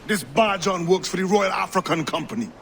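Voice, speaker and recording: An actor playing a weary, stern pirate quartermaster with measured, formal phrasing in a video game cutscene. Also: male voice, sits high, expressive, gravelly, a bit bright, exaggerated voice. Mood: brusque.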